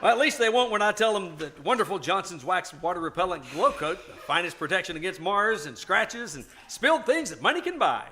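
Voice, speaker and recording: A glib, enthusiastic salesman acted by a man in an old-time radio comedy. The voice is bright and animated, rolling out long promotional pitches with salesman polish. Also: fairly quick, hardly any pauses, slight background noise. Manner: smooth-talking, promotional. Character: enthusiastic, glib adult male floor wax salesman. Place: live stage performance at a winery.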